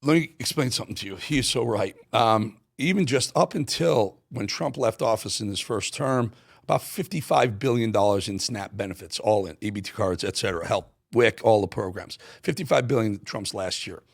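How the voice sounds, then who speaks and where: A man's voice: fairly low pitch, normal speed, creaky. An American man hosting a talk show, giving emphatic, opinionated political commentary and repeating phrases for punch.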